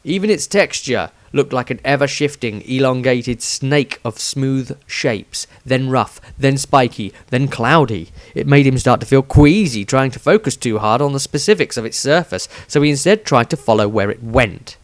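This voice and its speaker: A man narrates a fantasy story he wrote, reading from a script. His voice sits at a medium pitch and the recording is close and dry, with hardly any room sound. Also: normal speed, hardly any pauses, no echo. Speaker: author reading own story.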